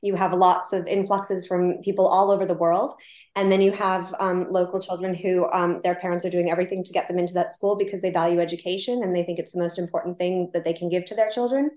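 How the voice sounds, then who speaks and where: An American woman, a guest on a podcast interview, speaking thoughtfully with frequent pauses and ums. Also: on the low side, fairly even intonation, a little mellow, reverberant. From United States. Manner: thoughtful, hesitant, many ums. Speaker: guest, founder of climate psychology group.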